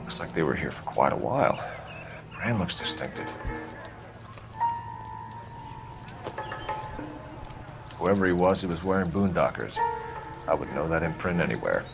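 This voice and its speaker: A young American detective, determined and probing, with a fairly low voice. He talks fast and with lively pitch movement in a dramatic video game scene, music behind him. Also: creaky. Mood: focused. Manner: clipped, insistent, businesslike.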